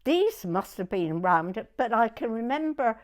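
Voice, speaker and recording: A woman's voice: on the high side, sing-song, measured pace. A woman in her nineties with a southern English accent, reminiscing hesitantly in an interview, often repeating herself and trailing off. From Weymouth, Dorset, England. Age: 90s. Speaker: oral history interviewee.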